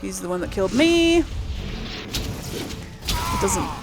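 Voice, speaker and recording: A woman's voice: mid-range voice, big pitch swings, slow pace, noisy background. A woman giving casual let's play commentary, thinking aloud as she goes. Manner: thinking aloud, reading quest text aloud.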